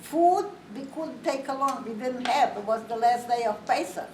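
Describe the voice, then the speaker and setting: An older woman from Romania, giving a slow, plain, matter-of-fact spoken testimony to an audience. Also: on the high side, lively intonation, darkish tone. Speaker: Holocaust survivor speaker. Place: community hall. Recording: roomy, distant, faint hiss.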